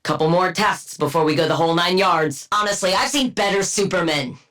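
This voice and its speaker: A woman's voice: lowish voice, brisk, a bit bright, close to an everyday voice. A woman voicing a coldly arrogant, menacing super-scientist villain in a video game, speaking with haughty, dryly sardonic precision and clinical diction. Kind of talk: video game character voice lines.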